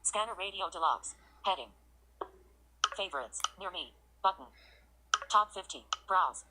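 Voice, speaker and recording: Machine-like female screen-reader voice, fairly low, announcing interface items over clear noise and tapping. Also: unhurried. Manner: clipped, flat announcements of menus and buttons. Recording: clearly noisy, with clicks and tapping.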